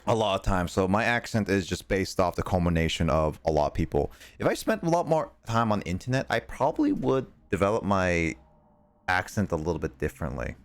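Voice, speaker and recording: A young American man streaming games, talking casually in halting, rambling bursts full of fillers and restarts. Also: sits low, very animated, no room sound. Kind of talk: casual chat while gaming.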